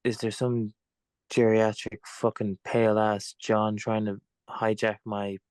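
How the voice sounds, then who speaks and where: An actor playing an angry, foul-mouthed young captive in a screenplay read, blunt, defiant and indignant. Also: male voice, lowish voice, level tone, unhurried, darkish tone, clean tone, dry recording, close to an everyday voice. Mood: indignant, confused.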